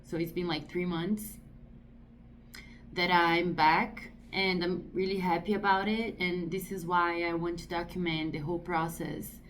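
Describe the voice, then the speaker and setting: A woman in her thirties from Brazil, Portuguese her first language, talking casually to camera in English with a Brazilian accent, often pausing to find her words. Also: fairly low pitch, steady tone, a bit bright, slight background noise. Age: 30s. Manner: thoughtful, searching for words with many "like"s. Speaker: artist vlogger.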